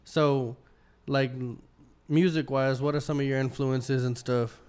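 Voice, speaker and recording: Male studio host, easygoing questions, clear smooth voice, casual interview. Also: medium pitch, unhurried. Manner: relaxed, asks questions with fillers and restarts.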